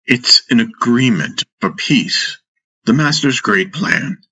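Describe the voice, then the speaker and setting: A man voicing an earnest, peace-minded young warrior who argues deliberately, in a fantasy audiobook. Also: medium pitch, animated, bright, forceful tone, slightly rough, pitched above the performer's own voice, heavily acted, poor audio. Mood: protesting.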